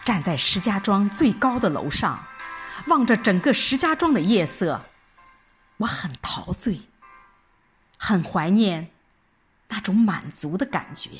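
A woman reading a scripted first-person audio drama narration in an expressive, reflective storytelling manner. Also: mid-range voice, measured pace, pauses often, slightly rough. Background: background music.

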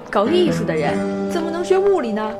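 A woman's voice: a little high, creaky, full character voice. A young woman acting a playful, dramatic female student in an audio drama. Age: young adult. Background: music.

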